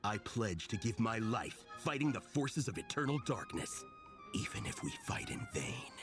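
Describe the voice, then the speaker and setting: An actor playing a zealous, grandiose young fan club member in a video game, declaiming pompously. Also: male voice, fairly low pitch, huge pitch swings, normal speed, over music. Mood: solemn, fervent.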